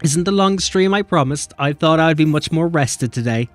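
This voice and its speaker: Animated male game streamer. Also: fairly high pitch, no room sound, faint music behind. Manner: animated, swearing, quick banter with chat. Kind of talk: casual live-stream chat, reading chat.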